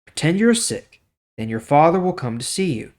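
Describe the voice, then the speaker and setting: A clever schemer, put on by a man reading scripture, advises his royal friend in a raised pitch. He is coaxing and insistent, as in a storybook reading. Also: big pitch swings, normal speed, a little mellow. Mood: scheming.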